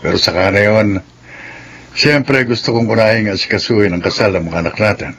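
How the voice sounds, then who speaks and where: A man's voice: on the low side, a little fast, fairly bright tone, breathy edge. An actor playing a jovial middle-aged widowed father in a radio drama, chatty and easygoing. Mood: easygoing, hopeful.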